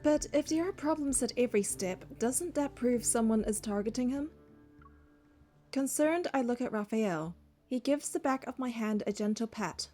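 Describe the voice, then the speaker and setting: Young woman, high voice, expressive, game story narration and dialogue, soft music behind. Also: normal speed. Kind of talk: reading game narration and heroine dialogue aloud.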